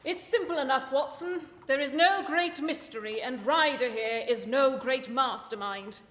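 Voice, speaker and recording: A woman as high-voiced, commanding Sherlock Holmes. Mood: coolly triumphant. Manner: measured, authoritative, explanatory. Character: Sherlock Holmes, commanding, coolly confident detective. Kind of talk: festive radio play acting. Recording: long hall reverb, loud music underneath.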